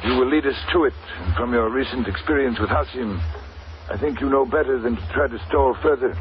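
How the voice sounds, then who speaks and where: Casting: actor as suave male enemy agent, mid-pitched, courteous but menacing, for vintage radio thriller; muffled, hissy recording. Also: close to an everyday voice. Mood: smooth menace. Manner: mock-polite, deliberate, menacing. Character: suave, menacing male foreign spy, politely threatening. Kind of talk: 1950s radio villain acting. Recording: muffled, very noisy old radio broadcast.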